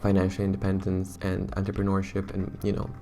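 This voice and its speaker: A young man talks to his viewers in a loose, rambling, self-conscious way, his deep voice rough and hoarse. There's a gravelly fry under his words, and faint music plays behind him. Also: monotone, brisk, dark tone. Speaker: YouTuber. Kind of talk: casual talk to camera.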